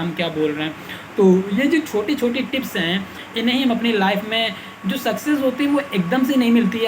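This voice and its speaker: A man's voice: sits high, noisy background. A man hosting a casual self-help lesson, often restarting his sentences and apologizing as he explains. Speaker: self-help video host. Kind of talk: casual explanatory talk to camera.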